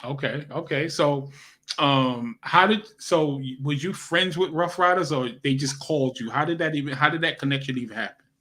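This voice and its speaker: A man's voice: fairly high pitch, normal speed, fairly bright tone. A man hosting a radio interview, chatting in a loose, slangy, friendly way. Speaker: radio show host. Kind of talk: casual interview chat.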